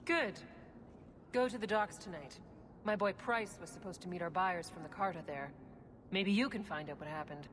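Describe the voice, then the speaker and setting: An actress playing a shrewd, businesslike female smuggler boss who speaks briskly and matter-of-factly. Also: fairly low pitch, a bit bright, in a large hall, a little hiss or hum, lightly acted.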